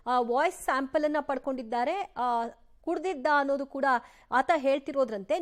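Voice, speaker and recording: A woman news anchor, presenting live news. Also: high-pitched.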